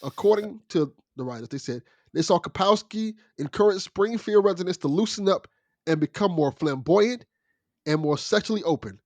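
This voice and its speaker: A man's voice: sits high, lively intonation, moderate pace, no echo. A man talking casually as a guest on a podcast, discussing and explaining things conversationally.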